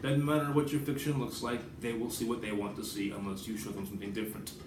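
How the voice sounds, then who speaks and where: A man's voice: fairly low pitch, little pitch movement, fast. A man talking casually and off the cuff in a blunt, rambling, sometimes profane way, as the host of his own vlog. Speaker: writer hosting his own video channel. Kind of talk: casual unscripted vlog talk. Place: indoors at home in an apartment. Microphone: tablet camera at a distance. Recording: distant, echoey room with clear background noise.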